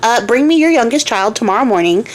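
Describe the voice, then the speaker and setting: A woman's voice: on the high side, expressive, fast talker, close to an everyday voice. A woman voicing a giant female lizard in a storybook tale, talking casually and insistently like a pushy friend. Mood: matter-of-fact. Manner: casual, insistent, modern slangy phrasing.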